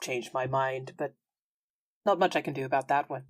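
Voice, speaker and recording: A woman's voice: very deep voice, steady tone, moderate pace, dry recording, clean studio sound, pitched below the performer's own voice. A woman playing a teasing, smugly certain friend with a matter-of-fact, knowing manner in a tabletop game.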